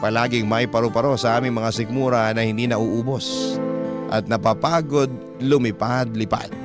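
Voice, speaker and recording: A Filipino male radio host giving a heartfelt, dramatic reading of a listener's love letter in a deliberate storytelling way. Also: medium pitch, steady tone. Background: prominent music.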